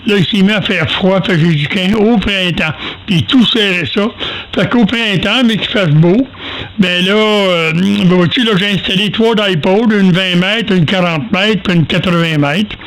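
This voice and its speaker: An older man with a Quebec French accent, chatting casually over amateur radio in a halting, folksy, self-deprecating way, telling rambling anecdotes. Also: sits high, fairly bright tone, poor audio.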